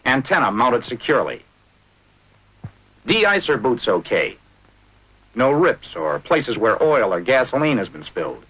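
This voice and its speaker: An American man narrating a 1940s military training film in a brisk, instructive manner with clipped short phrases. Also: medium pitch, very animated, breathy edge. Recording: dull, band-limited vintage film soundtrack.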